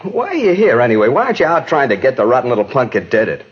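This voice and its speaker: An actor playing a distraught, exhausted, bitter husband in an emotional 1950s radio drama style. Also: male voice, medium pitch, wildly swooping pitch, racing, slightly rough. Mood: angry, frustrated. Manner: anguished, repetitive, emotional. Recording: dull, muffled 1950s radio recording.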